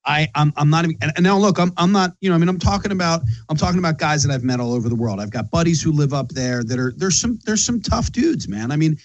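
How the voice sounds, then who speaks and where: A man's voice: on the high side, lively intonation, fast talker, few pauses, lo-fi sound. A man from Philadelphia with a Philly accent hosting a casual interview, rambling and emphatic, restarting his sentences often. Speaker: podcast host.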